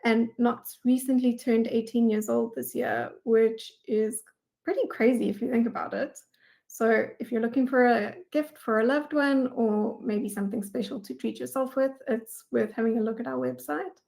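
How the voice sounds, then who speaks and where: A woman's voice: fairly high pitch, fairly even intonation, normal speed, gravelly, darkish tone. A woman in her thirties with a South African accent gives a prepared webinar presentation in an informal, conversational way. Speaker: guest speaker, data engineering manager.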